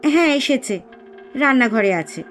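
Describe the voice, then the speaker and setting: A woman's voice: high-pitched, expressive, normal speed, fairly bright tone, faint music behind. An actress playing a mother of a grown daughter in an audio drama. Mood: inquiring. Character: mother questioning her grown daughter at home. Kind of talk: Bengali audio drama acting.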